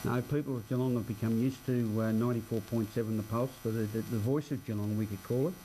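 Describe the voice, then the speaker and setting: An Australian man hosting a radio news show, interviewing in a conversational but formally phrased, halting way. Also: mid-range voice, steady tone, dark tone, a little hiss or hum, low-quality recording. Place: radio studio.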